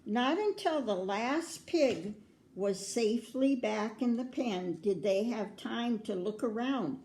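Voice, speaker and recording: Older woman, American accent, children's story reader, unhurried delivery, soft mellow tone, grandmotherly, roomy echo. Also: a little high, animated. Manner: grandmotherly, prompts young listeners with questions. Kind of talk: read-aloud picture book storytelling with asides to children. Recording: roomy, echoing indoor sound.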